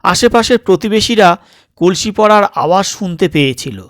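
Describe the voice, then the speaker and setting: A man reading a suspense story as a dramatic audiobook narrator, in measured, deliberate phrases with pauses. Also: high voice, expressive, dry recording, clean studio sound.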